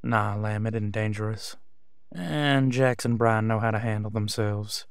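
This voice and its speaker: Werewolf pack leader male actor, audio drama. Teasing, commanding but low-key, crisp close dry sound. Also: steady tone, measured pace, no echo. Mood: calm, businesslike. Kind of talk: roleplay audio drama acting.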